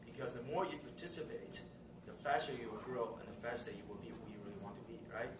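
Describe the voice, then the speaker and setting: A man chats through club announcements in a friendly, easygoing way, laughing between remarks. He sits far from the mic in an echoey meeting room. Steady room noise fills the background. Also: medium pitch, quick pace. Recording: roomy, distant mic, loud room noise.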